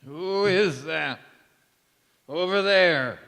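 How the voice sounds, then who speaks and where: A man acting a feeble, forgetful old grandfather in a stage radio play, halting, dreamy and fondly teasing. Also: fairly high pitch, creaky, sharp tone, exaggerated voice. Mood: drowsy, confused. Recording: echoey hall with long reverb.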